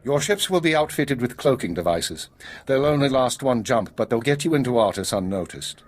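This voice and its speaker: An actor playing a guarded intelligence chief in a video game, measured, formal and authoritative. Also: male voice, medium pitch, animated, natural voice. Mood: commanding. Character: grave, guarded male intelligence spymaster. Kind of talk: video game drama acting.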